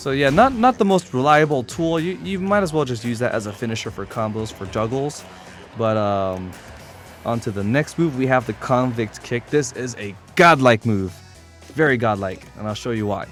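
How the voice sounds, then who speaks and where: Male gamer, average pitch, informal and blunt, presenting a how-to guide with prominent game music behind him. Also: lively intonation, normal speed. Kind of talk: casual video game tutorial commentary. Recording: voice over loud game music. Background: video game music.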